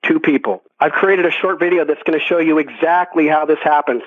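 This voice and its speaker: A man hosting a sales conference call, pitching earnestly and emphatically to members. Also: a little fast. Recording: tinny phone conference line.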